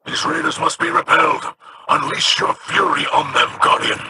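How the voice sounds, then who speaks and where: An actor playing a solemn male alien captain in a video game, speaking in a slow, formal, commanding way. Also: extremely high pitch, wildly swooping pitch, vocal fry, noisy background, exaggerated voice.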